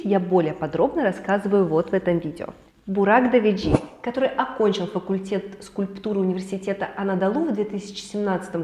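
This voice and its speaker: A woman hosting a weekly celebrity and travel news roundup, hoarse from a cold, lively and chatty with personal asides. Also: mid-range voice, echoey room. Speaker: news show host.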